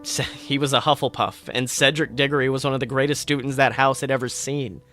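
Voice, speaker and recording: A man narrating a first-person story in a dramatic, expressive way. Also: medium pitch, fairly quick, soft background music. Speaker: podcast host reading fan fiction.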